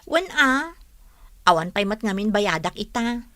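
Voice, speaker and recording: A woman's voice: mid-range voice, sing-song, measured pace, pushed and bright, no room sound, studio quality. A woman acting a brash young female student in a radio drama, with an Ilocano accent. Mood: pressing, curious.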